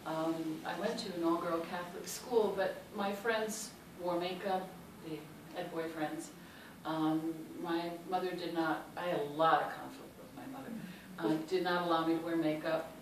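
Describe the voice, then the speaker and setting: A woman with a Chicago accent tells personal stories to a panel audience, pausing often on um and uh. Her voice sits a little low. It comes through a very echoey hall from a distant mic, with clear background noise. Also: steady tone. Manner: warm and anecdotal, many ums and uhs. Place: large hall.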